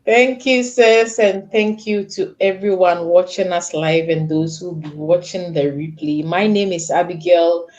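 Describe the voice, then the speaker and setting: A woman's voice: lowish voice, fairly even intonation, unhurried, few pauses, a little mellow, muffled recording. A woman from Ghana, a nurse, introducing herself politely as a guest on a live stream.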